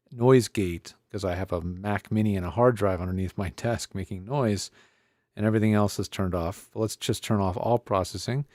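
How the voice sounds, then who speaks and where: A man with a lowish voice walks through gear in a casual tutorial style. He talks conversationally, as if thinking aloud while he tests things. The sound is close and dry, on a studio podcast microphone. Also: a little mellow, dry recording. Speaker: presenter of an audio gear tutorial. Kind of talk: casual hands-on product tutorial.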